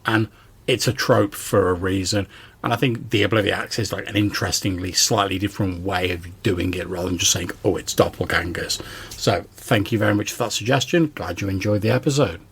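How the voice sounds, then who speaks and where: A man's voice: sits low, brisk, husky. A man hosting a podcast, chatting conversationally in response to listener voicemails. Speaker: podcast host.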